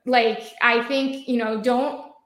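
A woman's voice: fairly high pitch, steady tone, slow, a bit bright. An American woman talking as a guest in an interview, chatty, full of fillers and restarts. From United States. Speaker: marketing guest. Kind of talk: conversational interview. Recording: roomy echoey sound.

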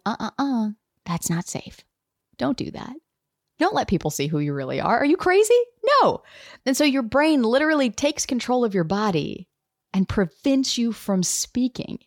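Animated woman podcast host, dry close sound. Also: mid-range voice, no room sound. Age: 30s. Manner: animated, acts out quoted dialogue. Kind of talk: personal storytelling monologue.

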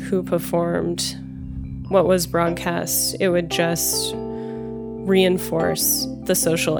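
A woman's voice: fairly low pitch, vocal fry. A woman from Los Angeles, a musician, talking thoughtfully in an interview. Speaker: guest, musician. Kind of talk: interview talk.

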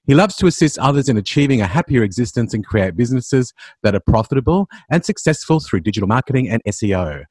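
A man's voice: mid-range voice, animated, fast, few pauses, darkish tone, breathy edge, no echo, clean studio sound. A man hosting an interview show, introducing his guest and asking questions.

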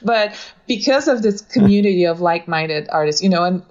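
A woman's voice: on the low side, expressive, fairly quick, a little mellow. A middle-aged woman who grew up in Russia, speaking as a photographer guest in an interview, thoughtful with light laughs and fillers. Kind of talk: conversational interview. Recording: dull lo-fi audio.

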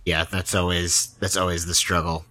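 A man's voice: deep voice, level tone, normal speed, bright, forceful tone. A man hosting a casual interview, informal and self-deprecating in how he talks. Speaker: interviewer, podcast host.

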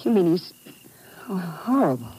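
Anxious secretary, faintly noisy recording. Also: female voice, lowish voice, big pitch swings, moderate pace, dark tone. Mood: shocked. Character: anxious female secretary, shaken and polite. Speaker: supporting radio actress. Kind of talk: 1950s radio drama acting. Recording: noisy vintage radio recording.

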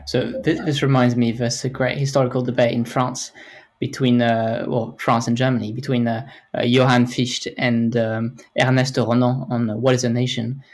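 A man moderating a panel, asking long, deliberate questions with some hesitation. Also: medium pitch, little pitch movement.

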